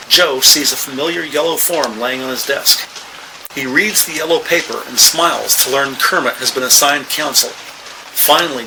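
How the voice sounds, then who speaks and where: An actor narrating a police procedural audio drama as a measured, factual male storyteller. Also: on the high side, noisy background, natural voice.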